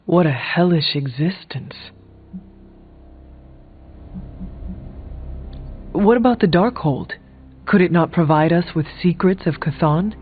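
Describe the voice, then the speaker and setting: An actor playing a brooding, dry-witted warrior ally in a video game, speaking formally and deliberately. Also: male voice, fairly high pitch, close to an everyday voice. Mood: sombre, questioning. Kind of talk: video game character dialogue. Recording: dull band-limited game audio, music behind.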